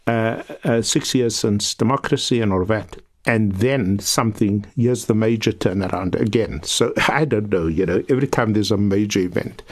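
Reflective South African man, low voice. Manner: reflective, earnest storytelling with frequent restarts. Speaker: guest professor. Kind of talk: podcast interview.

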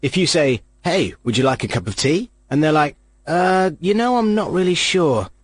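A man's voice: fairly high pitch, expressive, normal speed, breathy edge, no echo. A man giving a comic mock-lecture and acting out the voices he quotes. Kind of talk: comic monologue.

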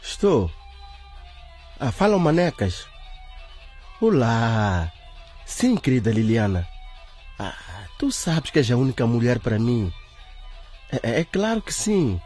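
A man playing a smooth-talking, evasive ladies' man in a radio drama. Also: mid-range voice, sing-song, slow, pauses often, a little mellow, faint music behind. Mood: flirty, hurried.

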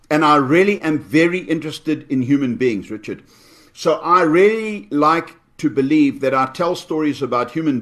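Measured storyteller, fairly high voice. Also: male voice, a bit bright. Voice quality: strong voice. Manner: measured, articulate, deliberate. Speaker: storyteller guest. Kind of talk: radio interview answers.

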